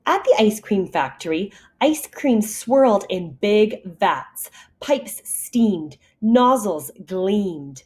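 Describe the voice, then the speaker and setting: A woman reading a picture story aloud to kindergartners in an expressive, deliberate teacher's manner. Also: mid-range voice. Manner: animated, deliberate storytelling for young children.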